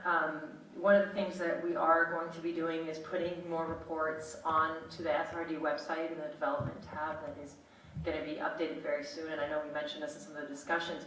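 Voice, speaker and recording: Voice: mid-range voice, fairly bright tone. A conference moderator speaking loosely, with many fillers, while hosting a panel session. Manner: loose, filler-heavy, friendly. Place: large conference hall. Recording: very echoey hall, distant mic, background noise.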